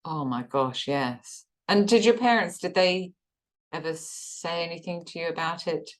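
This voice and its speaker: A woman's voice: on the low side, a little slow. A middle-aged woman, a therapist hosting the conversation, empathetic, with frequent tag questions. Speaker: therapist and host. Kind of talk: supportive interview conversation.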